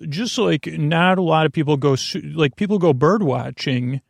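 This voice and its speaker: A man with a creaky voice hosting a podcast in a meandering, halting monologue, often wandering off on tangents and interrupting himself. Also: fairly high pitch, no echo. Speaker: podcast host. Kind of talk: rambling bedtime podcast monologue.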